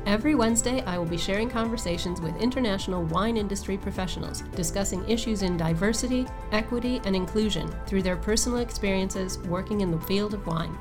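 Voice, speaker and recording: A woman from Ohio hosting a podcast, reading her introduction and welcoming her guest in a friendly, upbeat way. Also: medium pitch. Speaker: podcast host. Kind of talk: scripted podcast intro and interview.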